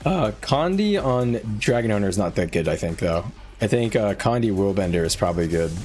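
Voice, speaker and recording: A man's voice: on the low side, lively intonation, brisk, a little mellow. A man talking informally as a game streamer, thinking aloud as he gives viewers gameplay advice in a conversational way. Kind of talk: casual live-stream chat, giving gameplay advice to viewers. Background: music.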